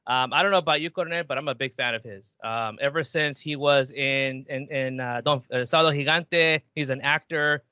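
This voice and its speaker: A man's voice: medium pitch, brisk, hardly any pauses, bright, forceful tone, smooth, no echo. A man hosting a podcast, chatting in a laid-back way with lots of restarts. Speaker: podcast host. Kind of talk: casual chat. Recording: dull, dry lo-fi sound.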